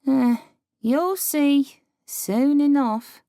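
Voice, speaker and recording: A man's voice: extremely high pitch, very slow, vocal fry, dark tone, no room sound, studio quality, voice pushed up, exaggerated voice. A male narrator voicing a cheerful, blunt young woman training as a guard, with a chatty, folksy way of talking.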